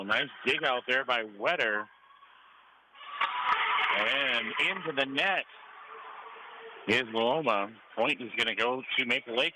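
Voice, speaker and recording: An American man calling a high school game live on the radio as play-by-play announcer. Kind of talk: live radio sports commentary. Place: high school gym broadcast position. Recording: faint noise behind live broadcast.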